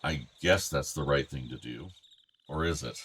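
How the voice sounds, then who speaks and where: A man's voice: very deep voice, normal speed, fairly bright tone, a little hiss or hum. An actor playing an older, weary, worried father pleading with his troubled son, in a naturalistic radio play. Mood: weary, sad.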